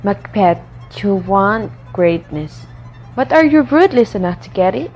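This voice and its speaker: Woman acting as ruthless scheming noblewoman, slow commanding delivery, radio play, soft music underneath. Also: medium pitch, animated. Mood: goading, coaxing. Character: Lady Macbeth, ambitious, ruthless scheming noblewoman wife.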